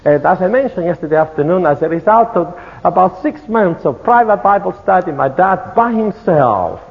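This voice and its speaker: A middle-aged man from Italy with an Italian accent, giving an earnest, measured sermon-style talk at a church conference. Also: high voice, big pitch swings. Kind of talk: sermon-style lecture with testimony. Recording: tinny, phone-like, echoey hall.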